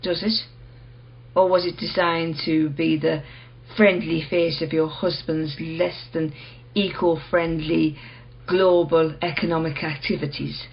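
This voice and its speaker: An actress playing a calm, enigmatic questioner in a radio play, measured, knowing and quietly insistent. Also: female voice, low-pitched, muffled recording. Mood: quietly challenging.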